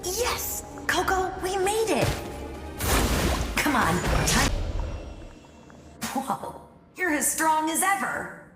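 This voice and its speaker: A woman's voice: extremely high pitch, sing-song, very slow, long pauses, heavily acted. A woman voicing a plucky, encouraging young adventurer in a video game. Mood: excited. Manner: spirited, encouraging. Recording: echoey, music behind.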